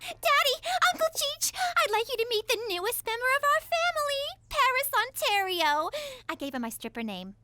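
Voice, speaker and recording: Shrill spoiled teen girl. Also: sing-song, normal speed, sharp tone, dry recording, faint music behind, exaggerated voice. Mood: wheedling. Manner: whiny, dramatic, bratty. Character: spoiled whiny teenage girl, daughter.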